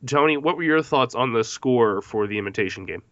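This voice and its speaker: A man's voice: medium pitch, animated, fairly quick, a bit bright, no echo, dull audio. A man hosting a podcast movie discussion, reading out facts from notes between questions to the panel. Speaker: podcast host.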